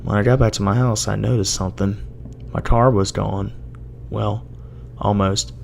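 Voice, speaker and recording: A man with a gravelly voice giving a halting, dramatic first-person reading of a horror story as an amateur narrator. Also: lowish voice, steady tone, a little mellow. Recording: noisy amateur home recording.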